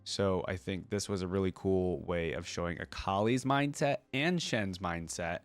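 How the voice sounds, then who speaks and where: A man giving casual reaction commentary as a video host, informal and thinking out loud. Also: low voice, normal speed, no room sound. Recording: music behind the voice. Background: game cinematic and music playback.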